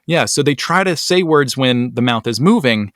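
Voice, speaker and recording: A man hosting a podcast, talking casually with lots of ums and quick asides. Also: mid-range voice, expressive, fairly bright tone, dry recording, studio quality. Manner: chatty, many ums, rising asides. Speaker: podcast host. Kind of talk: casual chat.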